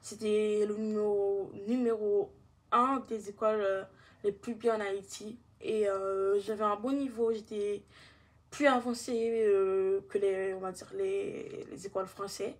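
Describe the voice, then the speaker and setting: A young woman from Haiti with a Guadeloupean accent, giving a halting personal testimony in casual speech. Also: medium pitch, fairly even intonation. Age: young adult.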